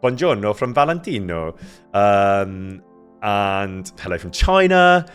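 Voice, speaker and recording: A man casually chatting with his live-stream viewers, greeting them by name and laughing often, with a loud voice that carries. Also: lowish voice, very animated, slow pace, clean tone, faint music behind. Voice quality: loud, carrying voice. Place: indoor room near an event space.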